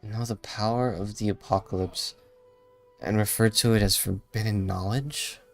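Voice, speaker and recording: A man's voice: lowish voice, fairly even intonation, moderate pace, vocal fry. A man livestreaming a game, reacting casually in brief, murmured, musing asides. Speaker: streamer. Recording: faint game music behind.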